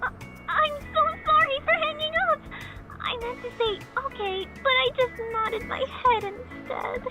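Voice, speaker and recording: A shy young college girl from an anime-style game. Her voice is extremely high, and she speaks politely and hesitantly, easily flustered and apologetic. Music plays clearly behind her. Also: unhurried, low-quality recording. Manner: hesitant, soft-mannered, apologetic. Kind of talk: anime-style visual novel game acting.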